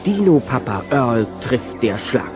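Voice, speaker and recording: A man's voice: mid-range voice, sing-song, a little slow. A man narrating a German children's audio play in a dramatic storytelling style. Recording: muffled old cassette with music.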